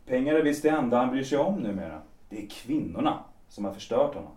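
A young actor with a Swedish accent playing a hesitant, evasive lover who speaks softly in an audio drama. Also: male voice, medium pitch, very animated, natural voice. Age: young adult. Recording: roomy, distant from the mic.

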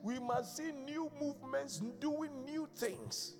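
High-voiced bishop preaching over music. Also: male voice, takes it slowly. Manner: conversational preaching, quizzing the congregation. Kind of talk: sermon. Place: church service, live. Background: keyboard music under the voice.

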